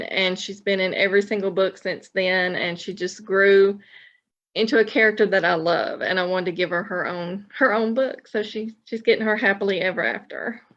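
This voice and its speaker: A woman's voice: mid-range voice, a bit bright. Woman on dull, lo-fi audio. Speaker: novelist, podcast guest. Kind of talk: casual interview chat.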